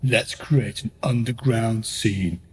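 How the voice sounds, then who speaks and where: A man's voice: medium pitch, takes it slowly, a little hiss or hum, close to an everyday voice. A man playing a smug, dismissive tech billionaire bro in a radio play. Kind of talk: radio play comic acting.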